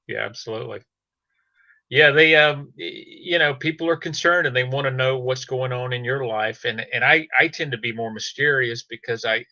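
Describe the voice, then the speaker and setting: Male podcast guest, bright gravelly voice, hesitant and self-effacing, chatting casually over slightly dull audio. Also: mid-range voice, no echo. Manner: halting, hesitant, repeats words. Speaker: fiction podcast host. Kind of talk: casual panel conversation.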